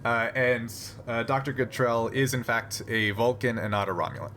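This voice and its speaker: Guy game mastering a sci-fi rpg, casual and kinda halting explainer, mid pitch, noisy audio. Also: a bit bright.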